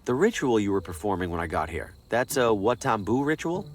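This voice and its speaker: A man's voice: on the low side, very animated, brisk, a little hiss or hum. An actor playing a wary, awkward everyman salesman in a video game, hesitant and wryly skeptical. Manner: hesitant, wry.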